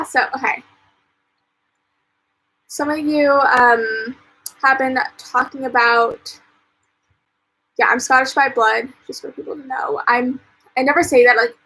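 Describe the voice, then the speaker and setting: Bright, breathy young American woman. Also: high voice, fairly even intonation, slow, pauses often, rough recording. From United States. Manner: chatty, lots of "um" and "like", laughs often. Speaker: author and vlogger. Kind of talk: casual live-stream chat.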